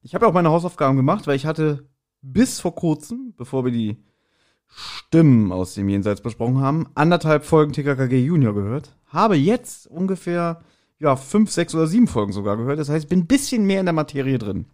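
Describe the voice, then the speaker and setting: A man talks as a podcast co-host. He is chatty and jokey, rambling along in a relaxed conversational style. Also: a little high, fairly quick. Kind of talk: casual podcast chat.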